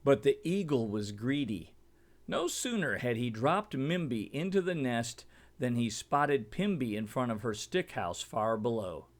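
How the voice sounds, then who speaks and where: A man narrates a children's storybook at an unhurried pace. His delivery swings widely in pitch, with an animated, expressive read-aloud feel. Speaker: storybook reader.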